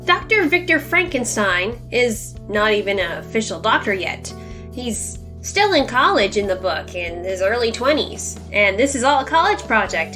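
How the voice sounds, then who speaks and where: High-voiced woman reviewing over music. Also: fairly bright tone. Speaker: book reviewer, host of a review series. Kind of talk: semi-scripted book review narration, conversational. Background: music under the voice.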